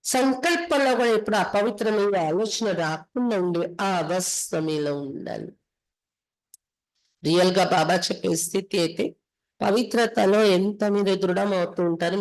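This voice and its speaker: A woman's voice: lowish voice, darkish tone, rough recording. A woman from India with a Telugu accent, reading scripture aloud and explaining it instructively as a spiritual teacher.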